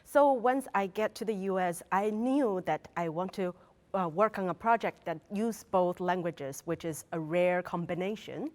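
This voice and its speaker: A woman's voice: lowish voice, normal speed. A woman in her thirties from China, Mandarin native, with a Chinese accent, answering interview questions as a research fellow in a thoughtful way with frequent fillers and restarts. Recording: long reverb of a hall.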